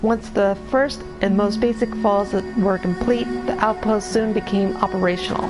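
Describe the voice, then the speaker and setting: A woman streaming a video game, reading in-game lore aloud in a halting way with many restarts, her voice going hoarse. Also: mid-range voice, steady tone, music in the background. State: losing her voice. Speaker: gameplay streamer.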